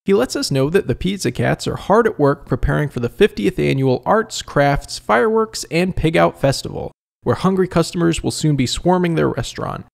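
A man hosts a scripted comedic review in a fairly high voice with some creak in it. His delivery is very animated, wry and conversational. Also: normal speed. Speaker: video essay host and reviewer.

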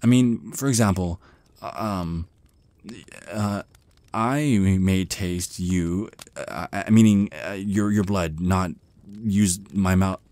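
A man's voice: sits low, slow pace, a little mellow, dry recording. A man playing a shy, nervous male vampire in an ASMR roleplay, stammering and hesitating apologetically as he talks. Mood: flustered, apologetic. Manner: stammering, hesitant, flustered, rambling. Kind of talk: ASMR roleplay monologue, conversational.

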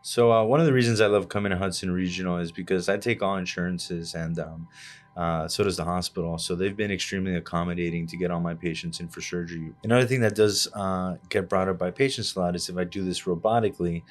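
Deep flat-voiced surgeon with fillers. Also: male voice. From Cuba, raised in Miami. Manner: measured, explanatory, many "uh" and "you know" fillers. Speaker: orthopedic surgeon, interview guest. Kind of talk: hospital podcast interview. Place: small studio set with plant and armchairs. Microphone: desk podcast microphone on arm.

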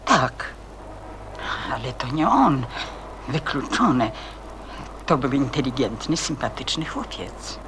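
A woman's voice: rumbling low, big pitch swings, slow, pauses often, full character voice. An actress playing a worried, polite older lady in a Polish radio play, self-reproaching and a little hesitant. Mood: thoughtful. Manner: fretful, courteous, hesitant. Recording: faintly hissy radio play with ambience.

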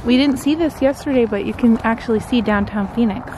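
Chatty woman filming herself on a desert hike, kinda high voice, outdoor noise all around. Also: brisk. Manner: cheerful, chatty, addresses viewers directly. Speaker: travel vlogger. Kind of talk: casual vlog talking to camera on a hike. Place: outdoors on a desert mountain trail. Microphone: handheld camera at arm's length. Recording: outdoor recording with clear background noise.

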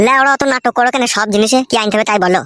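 A man's voice: high voice, rapid-fire, very noisy, lightly acted. A man voicing a brash, foul-mouthed young cartoon villager with a rural West Bengal accent, grumbling in crude comic banter. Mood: impatient.

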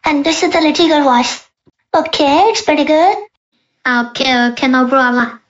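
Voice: sits high, steady tone, moderate pace, fairly bright tone. A person speaking as a young girl through an AI voice changer, casually testing a microphone. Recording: dull, processed voice-changer audio.

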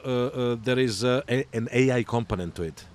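A middle-aged man hosting a conversational podcast interview, with halting phrasing and repeated words. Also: medium pitch, a little mellow. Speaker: podcast host. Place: outdoor pop-up podcast booth at a conference. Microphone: boom-arm podcast microphone, close. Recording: outdoor event ambience behind voice. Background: outdoor conference ambience.

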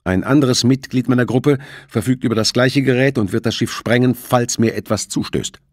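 A man voicing a determined hijacker in command of a seized ship in an audiobook, speaking in deliberate, menacing commands. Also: mid-range voice, no room sound, studio quality, voice pushed up, close to an everyday voice. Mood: cold threatening.

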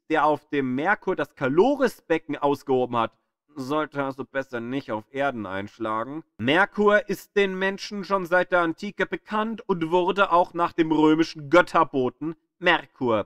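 A man reading scripted educational narration like an audio tour guide, with an expressive storytelling delivery. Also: fairly high pitch, measured pace, no room sound, clean studio sound.

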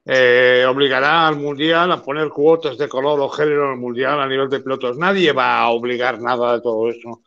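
Firm host reading viewer questions. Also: male voice, mid-range voice, steady tone, brisk, hardly any pauses, a bit bright. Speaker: host of a debate show. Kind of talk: live debate on a video call. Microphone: video call. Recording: dull video call audio.

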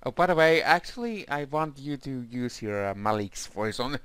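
A man casually directing voice actors, with a hesitant, halting way of talking. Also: mid-range voice, lively intonation, a crowd in the background. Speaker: fandub director.

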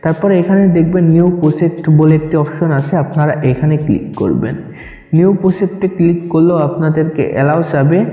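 A man's voice: on the high side, fairly quick, dark tone, muffled recording. A man with a Bangladeshi accent giving an instructional, step-by-step app tutorial voice-over, repeating his directions as he goes. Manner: instructional, repetitive. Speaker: tutorial presenter. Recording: echoey, reverberant room.

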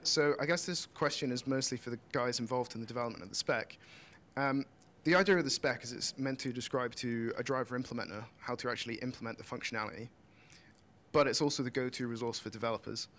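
A man in the audience putting a considered, orderly question to a panel. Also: fairly bright tone. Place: conference room audience. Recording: faint room rumble and noise.

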